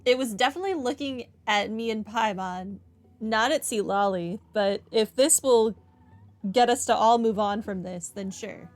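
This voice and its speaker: A woman's voice: on the high side, normal speed. A woman doing casual playthrough commentary and reading dialogue aloud, gushing in manner. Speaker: gaming streamer.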